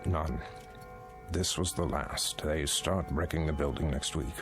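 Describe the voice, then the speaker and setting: An actor playing a kindly, weary older museum owner, halting and regretful, in an audio drama. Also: male voice, extremely low pitch, music in the background. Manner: halting, apologetic.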